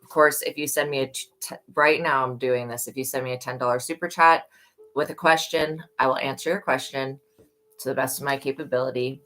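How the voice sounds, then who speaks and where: This is a woman with a strikingly deep voice and a hoarse edge. She hosts a live-stream tarot reading, offering earnest, motherly reminders and turning preachy when she speaks of faith. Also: steady tone, fairly quick, fairly bright tone. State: hoarse from yelling. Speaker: tarot reader.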